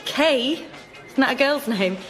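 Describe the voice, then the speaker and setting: A woman's voice: high-pitched, animated, slow, over music. A British woman playing a chatty, tactlessly curious character in a radio comedy sketch, inquisitive and over-eager.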